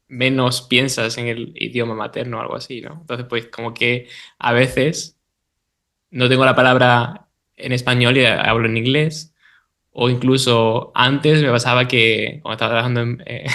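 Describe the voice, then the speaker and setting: Man on a podcast panel, bright tense voice, flat intonation, hesitant. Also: medium pitch. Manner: hesitant, searching for words. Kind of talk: casual panel discussion.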